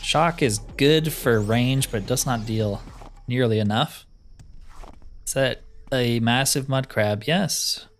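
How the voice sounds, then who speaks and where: A man's voice: medium pitch, takes it slowly. A man giving casual playthrough commentary as a video game host, thinking aloud with self-deprecating humor. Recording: music behind the voice. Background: game music.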